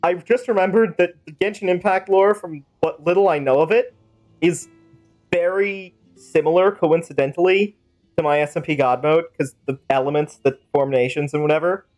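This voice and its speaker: A man with a notably high-pitched voice commentates on a game stream. He rambles in a chatty, casual way and drifts into playful role-play. Game music plays behind him. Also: normal speed, a little mellow. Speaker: livestreamer.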